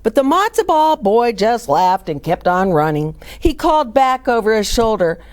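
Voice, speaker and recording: A woman's voice: a little high, big pitch swings, moderate pace. A woman hosting children's story time, reading aloud and explaining things along the way.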